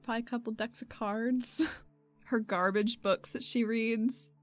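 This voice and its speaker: A woman's voice: a little high, steady tone, a little slow, faint music behind. A woman chatting casually as a player in a tabletop game.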